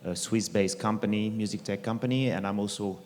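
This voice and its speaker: A man's voice: fairly low pitch, steady tone, fairly quick, a little mellow. A man from France with a French accent, giving a short formal self-introduction as a panelist. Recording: hall reverb with faint noise.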